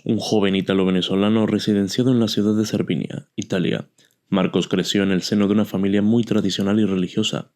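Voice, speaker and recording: Man, scripted narration, story introduction, warm mellow tone, lowish pitch.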